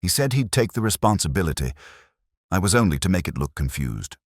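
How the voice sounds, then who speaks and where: A man's voice: sits low, very animated, fairly quick, no room sound, studio quality. A man voicing a flustered, self-justifying small man in an audiobook mystery. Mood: flustered, defensive. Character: blurting, aggrieved small man, a reluctant accomplice.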